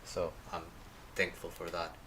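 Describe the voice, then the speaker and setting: A man hosting a casual livestream, his voice a little off from a sore throat, rambling with many pauses and talking to viewers by name. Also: low voice, monotone, sharp tone, slight background noise, poor audio. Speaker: life coach hosting a livestream.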